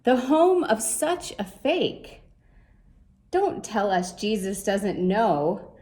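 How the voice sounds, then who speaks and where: A woman's voice: medium pitch, big pitch swings, extremely slow, a little mellow. A woman voicing scornful, gossipy townsfolk who disapprove, in a children's storybook. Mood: disapproving. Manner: scornful, exclaiming. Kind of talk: storybook character voice.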